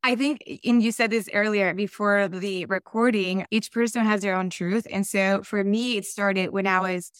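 Colombian-accented woman, smooth clear voice. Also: medium pitch, steady tone, normal speed, few pauses, dry recording, clean studio sound. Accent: Colombian Spanish. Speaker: podcast guest, real estate investor. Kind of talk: conversational interview answers.

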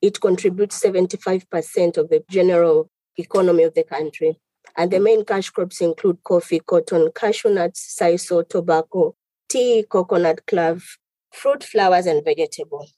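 A woman from Tanzania, a lawyer guest on a podcast, explaining her country's business and employment law in a measured way. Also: fairly low pitch, dark tone, no echo, rough recording. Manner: measured, explanatory, lists items. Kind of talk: podcast interview answers.

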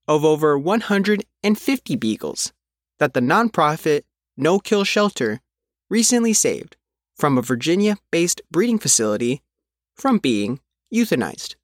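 A man reading a news article as its reporter, in measured, phrase-by-phrase scripted narration. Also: on the high side, dry recording, studio quality. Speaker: reporter reading their own written article.

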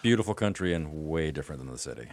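A middle-aged American man, a guest on a podcast, talking about his life in a relaxed, rambling way. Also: very deep voice, quick pace. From United States. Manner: relaxed, rambling storytelling with fillers. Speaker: attorney and guest. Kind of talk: casual interview chat.

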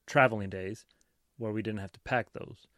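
A man's voice: lowish voice, fairly even intonation, moderate pace, bright, forceful tone, no echo, clean studio sound. A man co-hosting a podcast, chatting casually and sharing practical tips. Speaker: podcast co-host.

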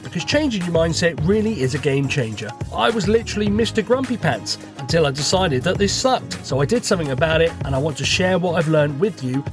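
Croaky middle-aged guy rambling from his truck cab. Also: a little high. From United Kingdom. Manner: chatty, self-deprecating, joking. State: croaky from a cold. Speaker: podcast host. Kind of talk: casual solo podcast monologue. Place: inside his parked truck cab by a main road.

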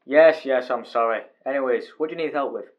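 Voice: medium pitch, brisk, lo-fi sound, natural voice. A performer playing a gossipy, grumbling male railway worker in an amateur audio drama. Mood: sleepy, doubtful. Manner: colloquial, conversational. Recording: faint background noise and ambience.

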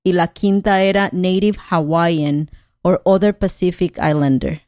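A woman's voice: on the low side, fairly even intonation, measured pace, darkish tone, no room sound, clean studio sound. A woman from Mexico in casual conversation, talking thoughtfully and hesitantly, often ending with "¿no?". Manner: thoughtful, hesitant, with frequent "¿no?" tags.

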